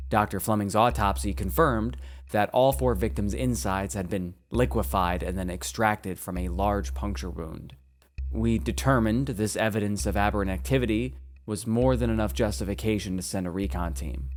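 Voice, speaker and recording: A man's voice: lowish voice, lightly acted. A man playing an earnest, slightly awkward male field agent, polite and a little hesitant, in an audio drama.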